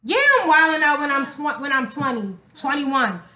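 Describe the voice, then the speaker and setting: A woman's voice: high-pitched, moderate pace, sharp tone. A young woman co-hosting a podcast, talking casually and candidly in an emphatic, slangy way with plenty of swearing. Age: young adult. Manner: emphatic, slangy, profanity-laced. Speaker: podcast co-host. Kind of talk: casual, candid relationship talk. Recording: echoey room.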